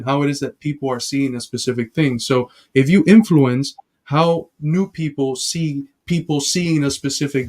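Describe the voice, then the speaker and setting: A man's voice: mid-range voice, a little mellow. A man hosting a live reaction stream, explaining ideas with everyday examples in a casual, lecturing way. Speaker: stream host.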